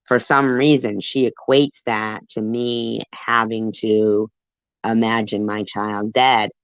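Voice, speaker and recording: A woman's voice: extremely low pitch, no echo. A woman hosting a commentary stream, talking in a defensive, rambling way with lots of fillers. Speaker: commentary channel host. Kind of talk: livestream commentary. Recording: dull dry lo-fi audio.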